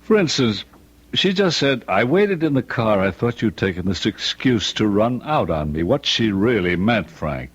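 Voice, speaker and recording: An actor playing a sly, cynical, needling older uncle in an old-time radio drama, deliberate and insinuating. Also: male voice, on the low side, sing-song, breathy edge. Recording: dull old radio recording.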